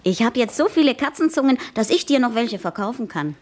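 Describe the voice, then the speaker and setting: A woman's voice: on the high side, lively intonation, fairly quick, close to an everyday voice. An actress playing a cheeky, boisterous teenage girl in a 1970s German radio play. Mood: triumphant. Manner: impish, exclamatory.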